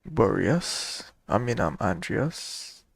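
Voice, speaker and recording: Creaky-voiced casual gamer. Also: medium pitch, very animated, slow. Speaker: game player reacting to story. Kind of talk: casual reaction commentary.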